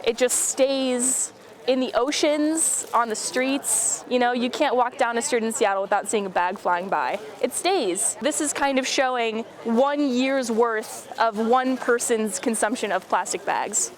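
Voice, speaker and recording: A young woman with a fairly high voice talks as a club member being interviewed. She explains her points in an informal, conversational way. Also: unhurried.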